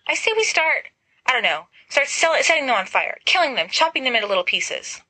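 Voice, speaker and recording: A woman's voice: high-pitched, big pitch swings, brisk, sharp tone, breathy edge, voice pushed up, exaggerated voice, low-quality recording. Woman voicing an exasperated, fiery teenage witch. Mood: panicked. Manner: snappy, commanding, a tad hysterical.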